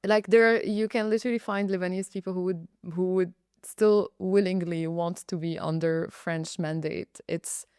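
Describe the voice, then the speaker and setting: A young adult woman from Congo, speaking slowly and thoughtfully as a podcast guest, with pauses and fillers. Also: fairly low pitch, fairly even intonation, a little mellow, smooth, dry recording, clean studio sound. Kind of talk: reflective personal interview.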